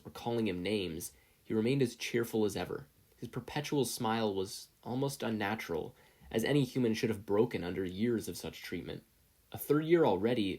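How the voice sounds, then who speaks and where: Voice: medium pitch, a little mellow. A narrator reading scripted fiction aloud as an audiobook-style story. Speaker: podfic narrator.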